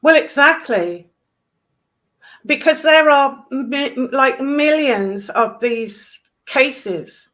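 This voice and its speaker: A woman talks in a casual discussion, explaining things point by point. Her voice sits a little high and her pace is unhurried and slow. She is emphatic, restating key phrases for stress. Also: lively intonation, a bit bright.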